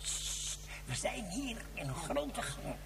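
An actress playing a watchful, cautious fugitive in a radio drama, speaking in a hushed, warning manner. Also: female voice, fairly high pitch, big pitch swings, slow pace, big-room sound, background noise. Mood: hushed, urgent.

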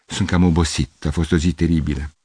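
A man's voice: extremely low pitch, flat delivery, darkish tone, slightly rough, no echo. A man doing a flustered, pleading tired musician. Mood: anxious. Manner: anxious, pleading, stammering. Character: flustered tired middle-aged male musician.

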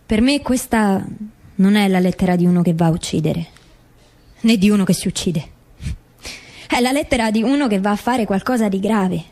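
An actress playing a mother in a documentary stage drama, methodically setting out doubts in a measured, deliberate way. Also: mid-range voice, mellow, close to an everyday voice. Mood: firm, doubting. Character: grieving mother methodically doubting her son's farewell letter. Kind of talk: scripted theatre dialogue, documentary drama.

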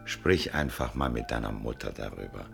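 An actor playing a blunt, wry man giving slow, measured advice in a 1980s German radio drama. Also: extremely low pitch, full character voice. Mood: wry counsel. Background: clear music.

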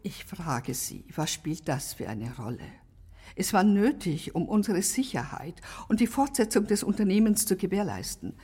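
Casting: haughty, remorseless villainess for radio drama, lowish husky voice, contemptuous delivery, reverberant space. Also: female voice, very animated, warm tone. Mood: cold, defiant. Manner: deliberate, contemptuous. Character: cold, unrepentant, haughty female villain, married accomplice. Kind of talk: radio play acting. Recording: long reverberant room sound.

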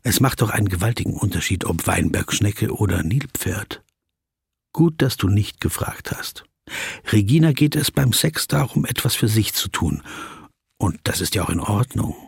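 An actor playing a pensive, insecure German business traveller in a radio play, brooding and wordy, sometimes awkwardly polite. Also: male voice, lowish voice, expressive, moderate pace, vocal fry, breathy edge, dry recording, clean studio sound, heavily acted. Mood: brooding, wistful. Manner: brooding inner monologue, shifting to awkward small talk. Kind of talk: dramatised literary monologue, radio play.

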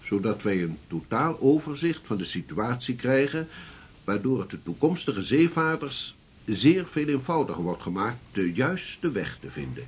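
Assertive scholar, male, husky, swooping intonation, emphatic lecturing, period radio drama. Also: medium pitch, sing-song, normal speed. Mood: persuasive. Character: assertive learned geographer urging a sea expedition.

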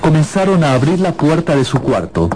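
A man narrating a dramatized radio novel in an expressive storytelling manner with deliberate pauses. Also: on the high side, noisy background. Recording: loud music bed under the voice.

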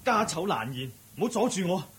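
An exasperated young husband and son in a Cantonese radio drama from Hong Kong. His slightly husky voice sits fairly high and leaps around as he grumbles. He is sulky, agitated and given to sighs. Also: big pitch swings, brisk, a bit bright, full character voice. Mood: agitated, aggrieved. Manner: agitated, complaining, sighing.

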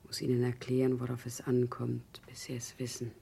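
Radio play: tired, zealous common woman, gravelly edge, solemn and impassioned delivery. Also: a bass voice, monotone, normal speed, warm tone, exaggerated voice. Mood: weary, resolute. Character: exhausted, fervent woman of the people on a crusade. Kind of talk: German radio play acting.

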